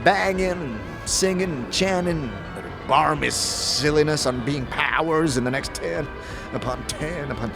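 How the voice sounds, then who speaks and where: A man playing a sickly old ex-warrior in a fantasy audio drama, with a gravelly wheeze, stammering, chuckling folksy slang. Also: fairly high pitch, a little slow, pitched above the performer's own voice. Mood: grumbling, amused. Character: sickly old ex-warrior tavern drinker, wry and grumbling. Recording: music under the voice.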